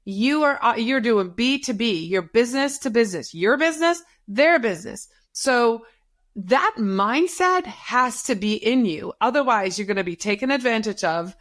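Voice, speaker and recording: A woman's voice: fairly high pitch, expressive, normal speed, fairly bright tone. A middle-aged woman whose first language is Dutch, giving career advice as a guest in an interview, stressing points by repeating them. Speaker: guest, social media business owner.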